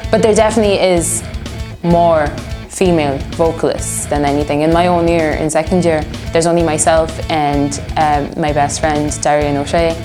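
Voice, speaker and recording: Low-pitched young woman, earnest. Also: steady tone, moderate pace, over music. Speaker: music student and band musician being interviewed. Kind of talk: casual interview talk.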